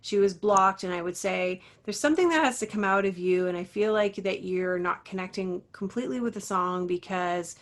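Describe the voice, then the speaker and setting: A woman's voice: medium pitch, normal speed, few pauses. A woman voice teacher and coach, an interview guest who talks earnestly and encouragingly, with lots of casual fillers. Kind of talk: interview talk.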